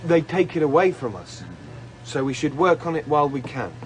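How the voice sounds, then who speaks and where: A man's voice: a little high, expressive, normal speed, a little hiss or hum, close to an everyday voice, poor audio. A man acting a crew member of a polar research station in an audio drama. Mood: resigned.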